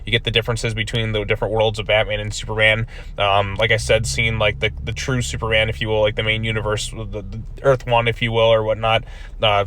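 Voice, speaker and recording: A man's voice: lowish voice, a bit bright, slight background noise. A man giving a casual video review of a comic book, rambling with many fillers, his voice still recovering from being lost.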